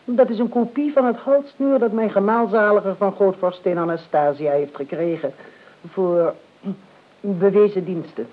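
A woman acts an imperious older aunt of high society in a radio play. She is formal, coolly calculating and deadpan, with a mid-pitched voice. The lo-fi recording is narrow and dull. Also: normal speed, lightly acted. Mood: stern, businesslike. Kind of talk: Dutch radio play acting.

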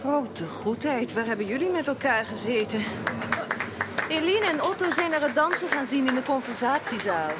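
A woman's voice: high voice, animated, moderate pace. An actress playing a fussy, scolding society lady in a Dutch radio drama, bossy and exclamatory. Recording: noisy radio play with loud background.

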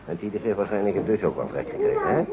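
An actor playing a kindly, patient uncle coaxing small children along in a naturalistic radio drama, often repeating himself. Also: male voice, on the low side, wildly swooping pitch, rapid-fire. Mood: caring, encouraging. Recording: dull old radio recording, loud noise.

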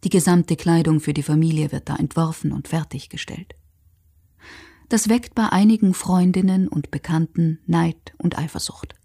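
A woman's voice: lowish voice, dark tone, breathy edge. A woman playing the detached narrator of a documentary radio drama, reading scripted narration.

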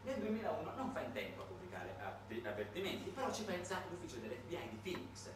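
Male satirical stage narrator, ironic and dramatically halting, lively intonation, distant mic in a highly reverberant room. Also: background noise. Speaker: monologue actor and narrator. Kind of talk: satirical theatrical monologue. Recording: very echoey room, recorded from afar.

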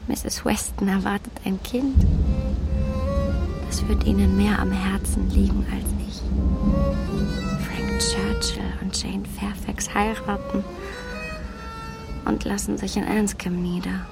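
An actress playing a proud, self-reproaching young gentlewoman in a radio play, with emotional, deliberate phrasing. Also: medium pitch, steady tone, vocal fry. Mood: gloomy. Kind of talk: radio play acting, inner monologue. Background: music.

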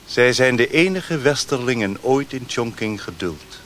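An actor playing a formal, haughty Chinese dignitary in a radio play, with a declamatory manner. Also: male voice, medium pitch, animated, a little slow, fairly bright tone. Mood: formal, probing. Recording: noisy old radio play recording.

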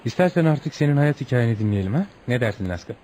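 An actor playing a courteous, reflective gentleman visitor, gently probing and philosophical, in radio theatre. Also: mid-range voice, expressive, quick pace, slight background noise, natural voice, rough recording.

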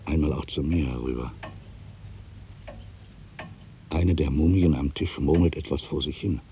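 An actor playing an aging, world-weary writer in an introspective, theatrical literary monologue, anxious and brooding, given to grandiose fancies. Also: male voice, rumbling low, fairly quick, slight background noise. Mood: observant, narrating. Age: older. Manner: theatrical, introspective, swinging between hushed and emphatic delivery.

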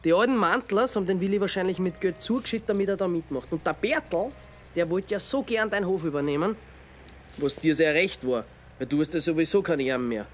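A calm, pleading man. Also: high voice, a little fast, slight background noise. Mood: reasoning. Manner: reasons and pleads, lays out facts steadily. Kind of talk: radio drama acting.

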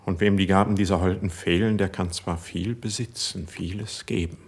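Melancholy poet in love, low voice, slightly husky, measured lofty verse declamation, classic radio drama. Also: male voice, steady tone, exaggerated voice. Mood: wistful resentment. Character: impassioned, melancholy male court poet in love. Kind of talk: classic German radio play, stage verse acting.

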